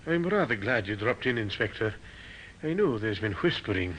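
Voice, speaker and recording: An actor playing a stiff, discreet village doctor in a radio drama, with a guarded, formal manner. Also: male voice, lowish voice, very animated, moderate pace, a bit bright, lo-fi sound. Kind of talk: old-time radio drama acting. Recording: faintly noisy vintage radio recording.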